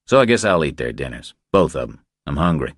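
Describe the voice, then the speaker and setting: A man's voice: a bass voice, brisk, no room sound, clean studio sound, full character voice. A man voicing a cool, laconic drifter investigator with a terse, dryly wry delivery in a crime audiobook. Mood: deadpan, wry. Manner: deadpan, terse.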